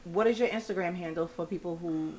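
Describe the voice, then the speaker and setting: A woman hosting a casual radio talk show, chatty and upbeat, quick to laugh with her guests. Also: on the low side, a loud crowd.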